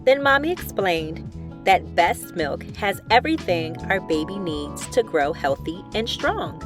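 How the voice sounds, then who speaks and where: A woman's voice: lowish voice, measured pace. Woman reading as an eager little storybook girl over music. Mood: happy, proud. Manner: expressive, simple childlike storytelling. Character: eager little girl, a loving big sister narrating. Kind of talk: children's storybook read-aloud.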